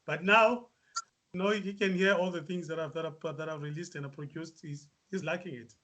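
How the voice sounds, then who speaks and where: A South African musician answering interview questions, hesitant with many fillers. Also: male voice, on the high side, steady tone, fairly quick, sharp tone, a little hiss or hum. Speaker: musician guest.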